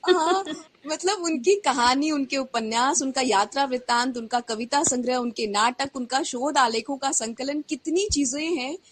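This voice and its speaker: A woman with a fairly high voice co-hosts a live literary conversation. She speaks as an interviewer addressing listeners and guest, pausing now and then mid-sentence as she goes. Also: no echo. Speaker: co-host interviewing an author.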